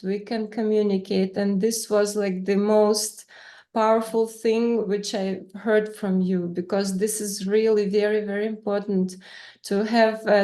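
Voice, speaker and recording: Ukrainian-accented woman, unhurried, halting delivery, video call audio, native Russian speaker. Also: mid-range voice, dark tone. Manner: hesitant, searching for words, frequent fillers. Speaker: interviewer. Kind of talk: casual video-call interview.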